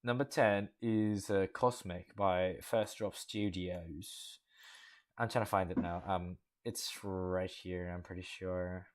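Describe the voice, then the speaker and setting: Low-voiced young man casually critiquing rides. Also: fairly even intonation, takes it slowly. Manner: rambling, frank critique with frequent fillers. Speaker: host judging a coaster-building contest. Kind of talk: casual commentary over gameplay.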